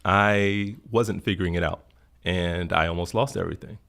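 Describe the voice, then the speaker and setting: Low gravelly middle-aged guy from florida, earnest, reflective interview talk. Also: fairly even intonation, normal speed, a bit bright. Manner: earnest, reflective, emphatic repetition. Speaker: podcast guest.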